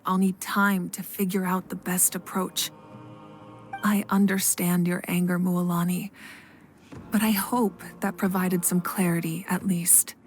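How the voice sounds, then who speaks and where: Composed goddess-ruler, a woman in the role, measured and patient, game cutscene with faint music. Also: on the low side, little pitch movement, lightly acted. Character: calm, authoritative female fire goddess ruler. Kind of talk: game voice acting.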